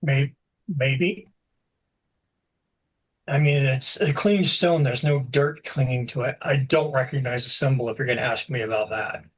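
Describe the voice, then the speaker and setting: A man running a tabletop game as game master, narrating scenes in a relaxed, explanatory way with frequent fillers. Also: mid-range voice, measured pace, slightly rough.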